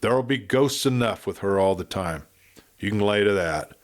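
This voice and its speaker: A man's voice: fairly low pitch, normal speed, natural voice. A man voicing a blunt, grim cowboy in a western audiobook, with rustic frontier talk.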